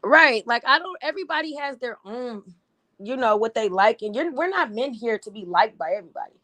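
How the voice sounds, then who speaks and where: A woman with a slightly raspy voice hosting a casual livestream, giving blunt, animated commentary with frequent laughs. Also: a little high, brisk. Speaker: livestream host.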